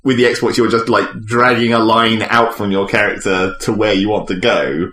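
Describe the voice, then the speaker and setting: A man's voice: on the low side, fairly even intonation, normal speed, pushed and bright. A man in a casual gaming chat, explaining things informally with plenty of "sort of". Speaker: podcast panelist. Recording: echoey room.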